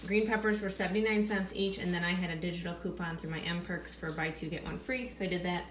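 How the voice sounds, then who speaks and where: A woman in her thirties chats casually about her groceries, often stopping to recall prices. Her voice sits a little low. The sound is roomy, with a clear hum of household noise behind her. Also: quick pace. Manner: chatty, thinking aloud about prices. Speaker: vlogger. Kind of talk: casual grocery haul narration. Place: home kitchen. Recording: echoey room with steady background noise.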